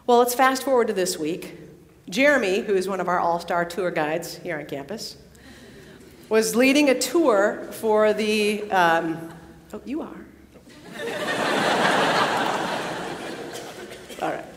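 A woman addressing a student worship gathering in a large hall, telling a story with pauses and fillers. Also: medium pitch, very animated. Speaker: campus pastor. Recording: long reverb in a large hall.

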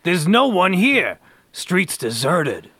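A man's voice: sits high, sing-song, takes it slowly, pushed and bright, husky, no room sound, heavily acted. A man playing an anxious, melodramatic townsman in an amateur superhero radio play. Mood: uneasy. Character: excitable, anxious comic-book men: shopkeeper, policeman.

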